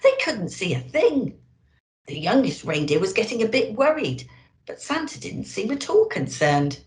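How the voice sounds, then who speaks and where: A woman's voice: medium pitch, moderate pace. A woman reading to children expressively as a storyteller, playing a Christmas elf host. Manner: animated, addressing children. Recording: roomy indoor echo.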